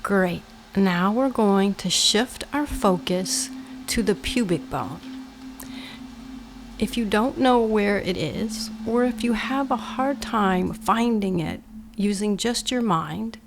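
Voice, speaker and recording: A woman guiding a meditation with measured instructions, in short phrases that often end hushed. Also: medium pitch, vocal fry, background noise. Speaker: meditation guide. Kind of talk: slow guided meditation, instructional.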